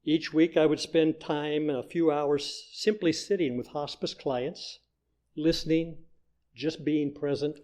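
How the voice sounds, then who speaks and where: An older man with a fairly high-pitched voice gives a personal testimony at a church service. He speaks as a member of the congregation, delivering a prepared story in a measured, storytelling way. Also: fairly even intonation, a little mellow.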